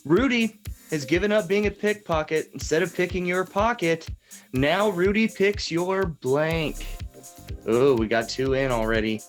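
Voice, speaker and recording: A woman's voice: lowish voice, slow pace, faint music behind. A woman playing a chatty, reassuring game show host in an improvised parody, stretching words for suspense like an announcer. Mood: upbeat, reassuring.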